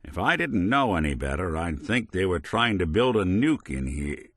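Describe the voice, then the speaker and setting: A low voiced weary robot gumshoe for a game, dry wisecracks, tone moves around a lot, super dry recording. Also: male voice, very animated, moderate pace, a bit bright, dry recording, heavily acted. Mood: wry, sardonic. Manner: wry, dry, sardonic, old-time tough-guy phrasing. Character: world-weary wisecracking male synth robot detective, middle-aged. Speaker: video game voice actor. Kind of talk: scripted game companion dialogue, noir detective.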